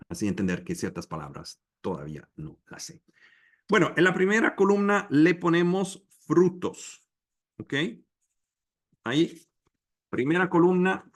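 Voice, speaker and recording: A man whose first language is English, leading a Bible study in slow, halting Spanish with pauses and restarts over a video call. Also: mid-range voice, a bit bright. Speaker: preacher.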